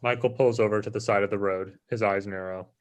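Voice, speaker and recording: A man with a fairly low voice reads stage directions for a screenplay. His delivery is plain, scripted narration. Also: fairly even intonation, fairly quick.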